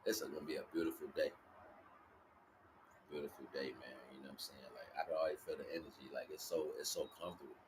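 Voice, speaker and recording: A man's voice: very deep voice, steady tone, quick pace, noisy background. A man giving a casual, freeform spiritual talk as a livestream host, repeating himself and often checking that listeners follow. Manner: repeats himself with frequent conversational check-ins. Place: outdoors.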